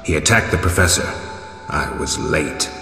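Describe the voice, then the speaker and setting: An actor playing a stoic, weary monster hunter in a video game, terse and understated. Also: male voice, a bass voice, animated, normal speed, gravelly, bright, forceful tone, exaggerated voice. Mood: grim, terse. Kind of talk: dramatic video game dialogue. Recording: echoey hall reverb with music behind.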